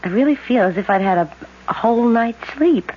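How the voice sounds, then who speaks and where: A woman's voice: on the high side, expressive, fairly quick, husky. Dazed wry young woman, muffled old radio sound. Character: young woman, twin sister, just hypnotized. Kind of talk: 1970s radio drama acting. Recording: dull old radio broadcast, faint hiss.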